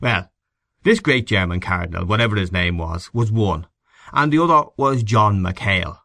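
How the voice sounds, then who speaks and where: A man voicing a thick-set, authoritative Irish gentleman who holds forth emphatically in an audiobook. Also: animated, moderate pace, creaky, no room sound, studio quality, full character voice. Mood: holding forth. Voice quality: deep, raucous. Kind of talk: audiobook character acting.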